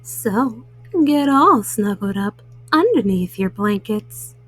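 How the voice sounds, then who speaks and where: A woman telling bedtime stories to small children in a gentle manner, as a storyteller. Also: medium pitch, expressive, takes it slowly, slightly rough, faint music behind, low-quality recording.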